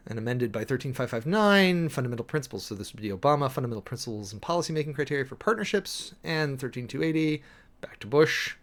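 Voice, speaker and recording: An American man reading official documents aloud dramatically and commenting closely on them, with emphatic, deliberate, theatrical phrasing. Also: mid-range voice. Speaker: streamer, preacher host.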